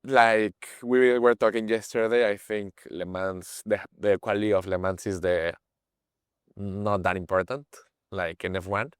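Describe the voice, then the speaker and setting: A young man in his twenties speaks English with a Mexican Spanish accent. His low voice is smooth and clear, recorded dry and close, and he pauses now and then to search for the right word. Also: steady tone, no room sound. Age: 20s. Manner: halting, searches for words in English. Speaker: guest, page owner. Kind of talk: casual interview chat.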